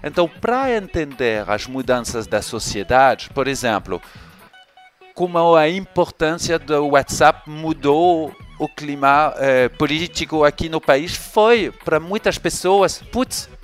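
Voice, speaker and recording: A man from France with a French accent, a guest explaining his views in an interview in an unhurried way. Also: a little high, animated, soft background music. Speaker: guest, event curator. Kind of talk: podcast interview.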